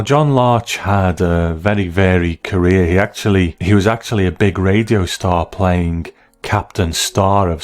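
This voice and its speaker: A man giving measured, analytical commentary as the host of a review of classic television. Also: low voice, a little mellow.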